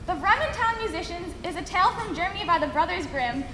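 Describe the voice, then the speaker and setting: Woman storyteller hosting a kids stage show, really high voice, echoey hall, some noise. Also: lively intonation, quick pace, bright, forceful tone. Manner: presentational, audience-addressing. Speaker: stage narrator. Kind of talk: scripted children's storytelling narration.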